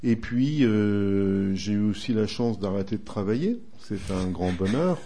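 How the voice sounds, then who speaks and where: A man in his sixties from northern France, a retired racer turned motoring writer, answering interview questions in an unhurried, reminiscing way with frequent hesitations. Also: on the low side, expressive, warm tone. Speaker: guest, retired racing driver and motoring writer. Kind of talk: relaxed radio interview answers.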